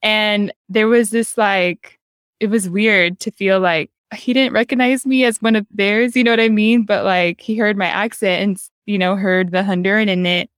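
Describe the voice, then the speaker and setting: A woman's voice: medium pitch, fairly even intonation, moderate pace, clean tone, dry recording, clean studio sound. A young adult woman hosting a casual podcast conversation, chatty and affirming, talking with enthusiasm. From United States. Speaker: podcast host.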